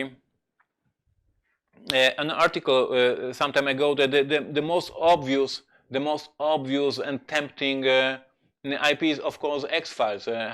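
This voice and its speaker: A man who is not a native English speaker, a game designer telling anecdotes on a live stream in a halting, emphatic way. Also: mid-range voice, fairly bright tone, clean tone. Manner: halting, emphatic storyteller with repeated words. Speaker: board game designer and presenter.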